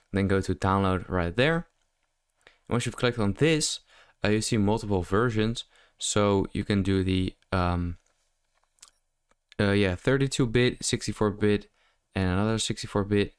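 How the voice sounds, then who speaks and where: Clear, slightly low-voiced man presenting a tutorial. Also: no room sound, studio quality. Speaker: tutorial host. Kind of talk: casual software tutorial voice-over. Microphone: close mic, screen-recording voice-over.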